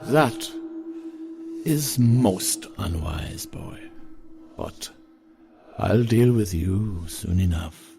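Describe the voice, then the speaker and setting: A man playing a sinister, predatory piper in an audio drama, with measured menace. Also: fairly low pitch, lively intonation, creaky, warm tone, faint music behind, exaggerated voice. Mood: cold menace. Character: sinister, menacing male Piper who feeds on children. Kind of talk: dramatic audio fiction villain.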